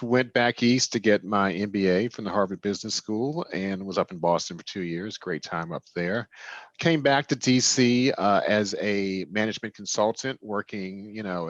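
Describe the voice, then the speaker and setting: A man in his fifties from Washington, D.C., a real estate developer answering interview questions in a thoughtful way with plenty of pauses and restarts. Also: deep voice, no room sound, lo-fi sound. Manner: thoughtful, many fillers and restarts.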